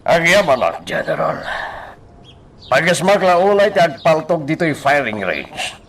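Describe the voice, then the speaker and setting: An actor with an Ilocano accent playing a confident, wealthy don in a radio drama, speaking in a theatrical, measured way. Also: male voice, on the high side, big pitch swings, fairly bright tone, recorded from a distance, slight background noise, full character voice. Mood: confident, genial. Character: confident, wealthy don who enjoys pistol shooting. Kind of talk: Philippine radio drama acting.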